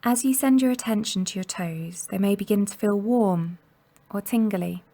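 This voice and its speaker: A woman guiding a meditation in a gentle, soothing and unhurried manner, leaving long pauses between instructions. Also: mid-range voice. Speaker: mindfulness meditation guide. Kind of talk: guided body scan meditation narration.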